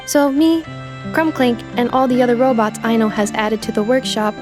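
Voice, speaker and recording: A woman playing a composed, devoted robot companion in a video game, speaking in a formal, measured and precise way. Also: fairly high pitch, natural voice. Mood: sincere, devoted. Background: music.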